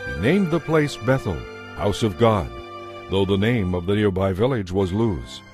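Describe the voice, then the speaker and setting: A man narrating scripture as a dramatized story, in an expressive, measured way. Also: on the low side, over music.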